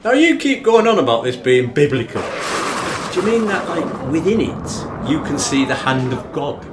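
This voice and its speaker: A man's voice: a little high, sing-song, normal speed, over music. A man with a Northern English accent acting in a radio play as an argumentative, wry, emphatic rugby fan. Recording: echoey room.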